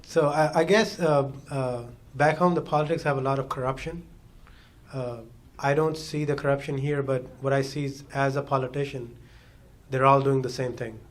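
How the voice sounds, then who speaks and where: A man's voice: mid-range voice, steady tone, normal speed. A man whose first language is Urdu, answering easygoingly on a panel in front of an audience. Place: meeting hall with an audience.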